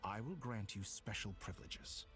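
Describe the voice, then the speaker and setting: A man playing a scheming, persuasive villain in a video game, with a measured, theatrical, mock-sympathetic delivery. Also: on the low side, expressive, pushed and bright, soft background music. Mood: coaxing, sympathetic. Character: scheming, persuasive male villain offering dark bargains. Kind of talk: dramatic video game voice acting.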